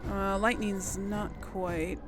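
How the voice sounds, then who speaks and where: A woman's voice: medium pitch, little pitch movement, slow pace, over music. A woman giving casual commentary on a game she plays, hesitant and thinking aloud. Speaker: game streamer, let's player.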